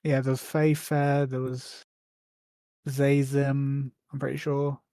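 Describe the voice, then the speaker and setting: A man's voice: mid-range voice, flat delivery, slow pace, warm tone, no room sound, studio quality. A man co-hosting a podcast in casual chat, hesitant, with many ums and restarts. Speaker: podcast co-host.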